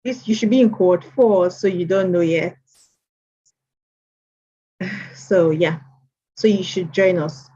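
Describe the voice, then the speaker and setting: A woman's voice: fairly low pitch, steady tone, slow, long pauses, dark tone, slightly rough. A woman hosting an online mentorship session, greeting attendees by name and repeating welcomes. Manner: chatty, repeats greetings, reads names aloud. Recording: dull lo-fi stream audio.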